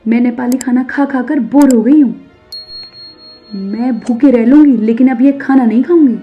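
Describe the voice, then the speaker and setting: A woman voicing a stern middle-aged mother-in-law in an animated moral story, scolding sharply and emphatically. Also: fairly high pitch, normal speed, close to an everyday voice. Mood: angry. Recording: narrow phone-like sound over music.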